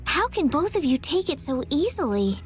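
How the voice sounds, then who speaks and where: An actress playing a polite, gentle, somewhat anxious young noblewoman in a video game. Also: high-pitched, sing-song, normal speed, faint music behind. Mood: nervous.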